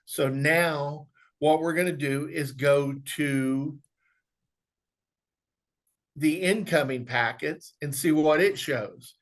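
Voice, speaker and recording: A man presenting an instructional software walkthrough, explaining step by step in a conversational way. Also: fairly high pitch, slow pace, long pauses. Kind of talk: instructional screen walkthrough, informal webinar.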